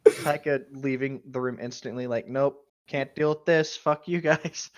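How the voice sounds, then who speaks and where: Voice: mid-range voice, steady tone, a little slow, muffled recording. A podcast co-host chatting and joking casually in a profane, giggly way.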